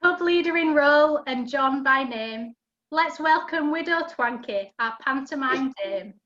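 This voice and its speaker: A woman's voice: high voice, lively intonation, a little slow, a bit bright, a little hiss or hum. A woman giving scripted rhyming narration for an amateur pantomime over a video call. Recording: dull lo-fi video call audio.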